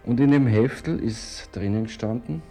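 A man's voice: mid-range voice, normal speed, dark tone, soft background music. An older man telling a personal story in a hesitant, wry way. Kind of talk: personal anecdote in an interview.

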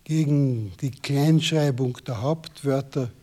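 A man's voice: mid-range voice, slow pace, dark tone. A man giving a pompous, ceremonial-sounding speech.

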